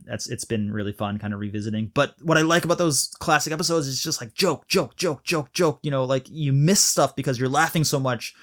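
A man in his thirties from Malaysia, co-hosting a podcast and chatting enthusiastically, with a rambling way of talking. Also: medium pitch. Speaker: podcast co-host. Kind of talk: casual chat.

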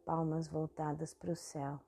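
A woman with a Brazilian accent hosting a guided meditation, giving calm instructions in short phrases with long pauses between them. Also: low-pitched, vocal fry, slight background noise. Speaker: guided meditation podcast host. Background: meditation music.

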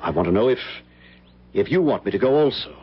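Radio drama: ardent, haunted lover, low voice with sweeping intonation, florid and earnest. Muffled vintage sound. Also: male voice, expressive, a little fast, husky. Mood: hesitant earnest. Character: ardent, insistent male lover recalling a haunted romance. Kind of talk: old-time radio drama acting and narration. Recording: muffled lo-fi old radio, music behind.

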